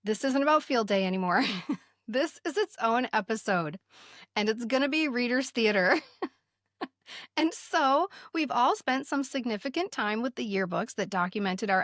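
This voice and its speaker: Higher-voiced woman co-hosting, close and dry. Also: no room sound. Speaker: podcast co-host. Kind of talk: conversational podcast hosting, lightly scripted.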